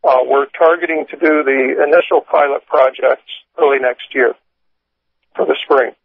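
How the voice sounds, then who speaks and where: Husky American executive on a phone line. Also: male voice, medium pitch, fairly even intonation, normal speed, dry recording. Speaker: company executive leading an investor call. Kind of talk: corporate presentation, reading prepared remarks and answering questions. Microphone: telephone. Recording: dry, tinny telephone conference line.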